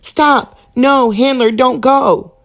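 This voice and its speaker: Eager female dog, earnest, storybook character, raised pitch, voiced by a woman reader. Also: fairly even intonation, slow pace. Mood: alarmed.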